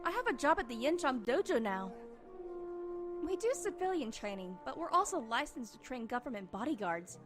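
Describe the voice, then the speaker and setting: A woman's voice: high-pitched, very animated, normal speed. A woman playing a friendly, breezy bodyguard trainer in an audio comic, with a bubbly casual manner. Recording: clear music behind the voice.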